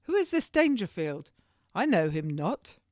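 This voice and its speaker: A woman voicing a defiant, quick-witted woman under questioning in a historical novel, with formal, indignant, archaic speech. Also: lowish voice, big pitch swings, a little mellow, dry recording, clean studio sound.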